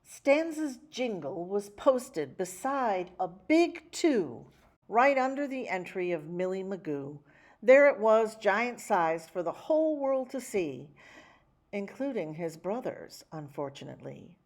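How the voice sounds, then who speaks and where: A woman reading a rhyming children's picture book aloud, with theatrical, measured phrasing and dramatic emphasis. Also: mid-range voice, very animated, darkish tone. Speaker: children's story reader. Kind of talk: expressive picture-book read-aloud in rhyme.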